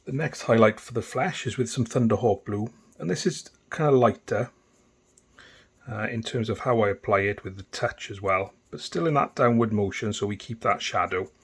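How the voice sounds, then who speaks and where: A man's voice: on the low side, normal speed, breathy edge. Easygoing Welsh man teaching painting. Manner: relaxed, chatty, with frequent fillers and restarts. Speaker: miniature painting tutor. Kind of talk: casual step-by-step tutorial narration.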